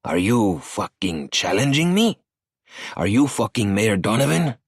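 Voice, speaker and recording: A sneering, arrogant cartel boss spits taunts in a husky, swinging voice, as a male narrator puts him on. The delivery is close and dry, with no room sound. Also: on the low side, big pitch swings, measured pace, no echo, voice pushed up, heavily acted.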